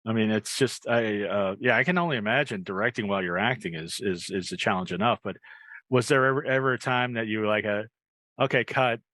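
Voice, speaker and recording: A man with a fairly low but bright, crisp-edged voice, close and dry on the recording. He hosts in a casual, chatty way, with a halting delivery. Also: dry recording. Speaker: podcast host. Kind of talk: casual chat interview.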